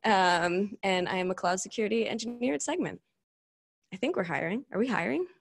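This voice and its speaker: A woman presents at a tech meetup in an informal, enthusiastic way. Her voice sits at an average pitch, and she talks to the room as if chatting with colleagues. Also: animated, a little fast, creaky. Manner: informal, peppered with "like" and enthusiastic asides. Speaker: conference speaker, cloud security engineer. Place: meetup room before a seated audience.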